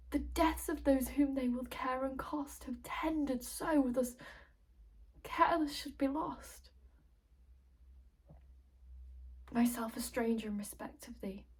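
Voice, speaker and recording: A woman's voice: high-pitched, a little mellow. An actress playing a grieving, vengeful noblewoman, delivering classical tragic verse in an intense, reproachful, declamatory way. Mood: bitter reproach. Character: grieving, vengeful noblewoman of Renaissance revenge tragedy. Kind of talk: classical verse tragedy monologue.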